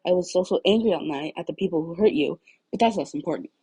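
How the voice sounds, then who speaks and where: A woman voicing a sleep-deprived, gruff but caring teenage boy in a huffy manner for a story reading. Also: medium pitch, fast talker, warm tone, no room sound, rough recording. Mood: embarrassed, blunt. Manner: huffy, groaning.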